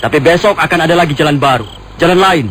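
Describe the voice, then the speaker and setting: A man playing a calm, unafraid wandering swordsman in an Indonesian radio drama, speaking with measured, gentle mockery. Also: a little high, close to an everyday voice. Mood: firm, unafraid. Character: calm, defiant male wandering swordsman on horseback. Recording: faintly noisy old radio drama recording.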